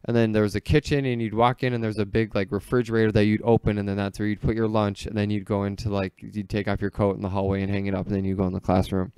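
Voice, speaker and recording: A man with an American accent talks in a low, clear voice with very little room sound. He tells stories casually and jokingly, leaning on "like" as he goes. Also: flat delivery, quick pace, few pauses, darkish tone, no echo. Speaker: podcast speaker telling childhood stories. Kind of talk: casual comedic chat.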